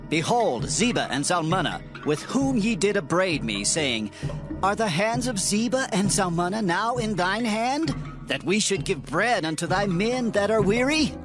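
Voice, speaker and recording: Stern biblical war leader, high-pitched and animated. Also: male voice, a little slow, music in the background. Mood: scornful. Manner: declamatory, solemn archaic speech. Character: stern, vengeful ancient Israelite war leader. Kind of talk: dramatized scripture acting.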